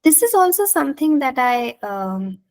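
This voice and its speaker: Woman, Indian accent, native Marathi, fairly high pitch, conversational teaching, encouraging, reflective, online session. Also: very animated, normal speed, a little mellow. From Maharashtra, India. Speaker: speaker leading an interactive talk, clinical psychologist. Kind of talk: conversational online talk with slides and questions to participants. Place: online video call.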